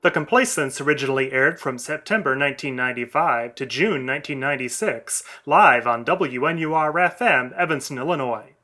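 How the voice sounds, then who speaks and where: A man's voice: medium pitch, brisk, few pauses, bright, forceful tone. A man giving a formal, scripted podcast introduction as its announcer.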